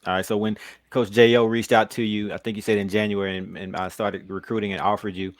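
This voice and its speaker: A man with a low voice hosts a casual sports interview. He talks fast and teases his guest. The sound is dry and close. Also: flat delivery, no room sound. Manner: quick, friendly, teasing questions. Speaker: podcast interviewer.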